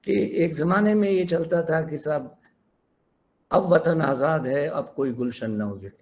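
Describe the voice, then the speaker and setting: Indian man, high, emphatic lecturer. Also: a little mellow. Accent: Indian English. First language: Hindi. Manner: emphatic, switches between English and Hindi. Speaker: professor giving a keynote lecture. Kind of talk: online academic lecture. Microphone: online video call.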